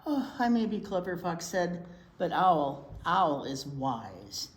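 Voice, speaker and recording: Animated woman reading aloud, slow and roomy. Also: on the low side. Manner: expressive, chatty, asks the listeners questions. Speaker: children's library teacher reading aloud. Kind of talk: animated picture-book read-aloud for young children. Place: indoor room at a library. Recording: roomy echo, noticeable background noise.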